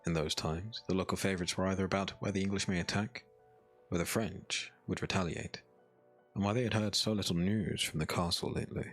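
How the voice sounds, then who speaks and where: A man narrating a dark fantasy audiobook as a scripted story, in a solemn, measured manner. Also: sits low, fairly even intonation, soft background music.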